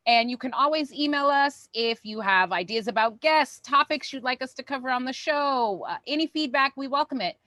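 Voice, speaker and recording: A woman's voice: high voice, normal speed, few pauses, pushed and bright, dry recording. A woman co-hosting a theatre company's online show, giving casual, upbeat announcements to viewers in an informal way.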